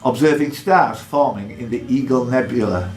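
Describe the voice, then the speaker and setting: A man hosting a live quiz in a pub, reading questions out deliberately and adding jokey asides. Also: medium pitch. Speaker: quizmaster. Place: indoor pub. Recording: echoey pub room with background noise.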